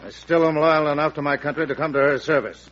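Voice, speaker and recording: An actor playing a stiff, urgent, patriotic army general in a radio drama, speaking formally and insistently. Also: male voice, a little high, fairly even intonation, quick pace, fairly bright tone, lightly acted. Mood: stiff, earnest. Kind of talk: old-time American radio drama acting. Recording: dull, noisy vintage radio recording.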